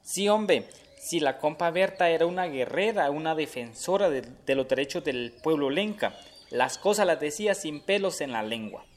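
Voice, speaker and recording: A man's voice: a little high, lively intonation, normal speed, natural voice. An actor playing an earnest male Honduran activist in a radio drama, chatting in a colloquial way. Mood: admiring, earnest. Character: Juancho, man activist chatting earnestly with a comrade. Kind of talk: scripted radio drama dialogue, conversational. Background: plucked guitar music.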